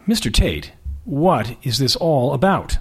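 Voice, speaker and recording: A man reading the lines of a poised, wry young woman in a job interview, in a dry, measured way. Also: mid-range voice, sing-song, breathy edge, exaggerated voice. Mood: guarded.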